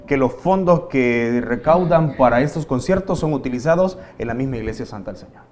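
A man's voice: medium pitch, lively intonation, a little fast, a little mellow, a little hiss or hum. A middle-aged man anchoring a live, conversational newscast from a TV studio. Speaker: news anchor.

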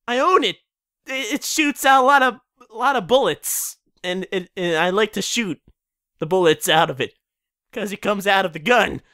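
Guy doing a really high whiny young man, swoopy and stammering, amateur cartoon parody. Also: lively intonation, moderate pace, bright, forceful tone, no echo, studio quality, heavily acted. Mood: boastful, flustered. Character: panicky, pleading, vain young man.

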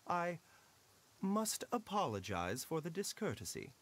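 A man's voice: fairly high pitch, sing-song, noisy background. A man voicing a courteous, formal pharmacist doctor in a game. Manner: polite, formal, measured. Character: courteous, smooth-spoken male pharmacist doctor.

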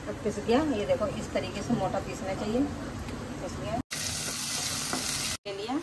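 Woman host, casual kitchen narration, sits back from the mic, loud sizzling pan underneath. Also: mid-range voice, fairly even intonation. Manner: plain, unhurried running commentary while cooking. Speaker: home cooking channel host. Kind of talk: casual step-by-step cooking instruction. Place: home kitchen at the stove. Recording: distant phone mic with loud frying noise. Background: loud sizzling and frying from the pan.

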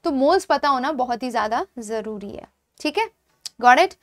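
A woman's voice: sits high, animated, fairly bright tone, dry recording, studio quality. A young woman with an Indian accent teaching an online chemistry class step by step, mixing Hindi and English and often checking that students follow. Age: young adult. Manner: addresses students affectionately, checks understanding often; switches between Hindi and English, dictating slowly. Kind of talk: online lecture, explaining step by step. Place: indoor studio, seated before a digital board.

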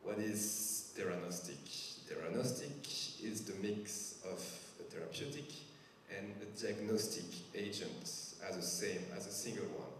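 Low-voiced French man in a big hall. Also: a little hiss or hum. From France. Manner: slow, careful explanations with frequent hesitations. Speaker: physician speaker. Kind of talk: medical talk to a patient group. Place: large church hall with a stage. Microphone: handheld microphone. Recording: echoey hall from far off.

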